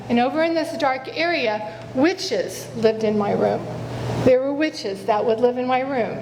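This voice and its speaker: A quiet-voiced woman giving an earnest sermon and prayer as a guest speaker, often correcting herself. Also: high voice, in a large hall, a little hiss or hum, rough recording. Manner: earnest, repeats "Father" in prayer, self-correcting. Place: church sanctuary. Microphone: stage microphone.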